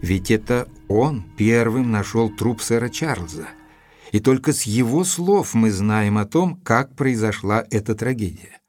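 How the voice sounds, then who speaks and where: An actor playing a thoughtful gentleman investigator, narrating a mystery in a measured, deliberate literary style. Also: fairly low pitch, expressive, dry recording, faint music behind. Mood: measured, suspicious. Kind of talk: dramatized first-person literary narration.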